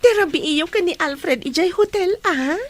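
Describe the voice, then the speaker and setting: A woman's voice: very high-pitched, expressive, normal speed. A woman playing a teasing, chummy young best friend in an audio drama, with a Filipino accent. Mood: cheerful, teasing.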